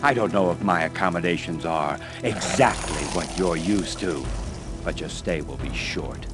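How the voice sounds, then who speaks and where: A man's voice: deep voice, moderate pace, over loud music, natural voice. A man playing a menacing zealot garrison commander in a fantasy video game, sneering and theatrical with mock courtesy. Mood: mocking welcome. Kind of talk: villainous fantasy game acting.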